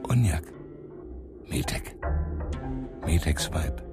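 An actor playing a taciturn Arctic hunter thinking aloud in a radio play, in terse, hushed phrases. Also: male voice, a bass voice, lively intonation, drawn-out words, full character voice. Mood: contemplative. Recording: studio voice over prominent music.

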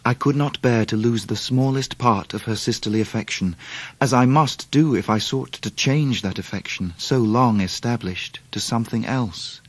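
A man's voice: mid-range voice, normal speed, no echo, natural voice. An actor playing a reflective, melancholy grown man, narrating his own life in a radio drama. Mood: regretful. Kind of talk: dramatised first-person narration.

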